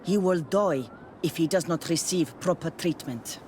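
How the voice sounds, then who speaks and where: A proud herbalist mother of an island clan in a fantasy game. She is blunt and terse, with a low female voice over faint outdoor noise. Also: unhurried, mellow. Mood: proud, stern. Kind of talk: video game dialogue acting. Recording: outdoor ambience noise behind voice.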